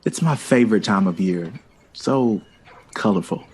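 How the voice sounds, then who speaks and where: An actor playing an urbane, witty and smitten jazz composer in an audio drama, with refined, theatrical phrasing that savors words. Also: male voice, on the low side, unhurried, a little mellow, slightly rough. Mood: charming, flirtatious.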